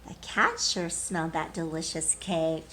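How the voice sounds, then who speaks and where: A woman teacher reading a storybook aloud expressively, pausing to put questions to young listeners. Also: low-pitched, lively intonation, bright, forceful tone, a little hiss or hum, poor audio.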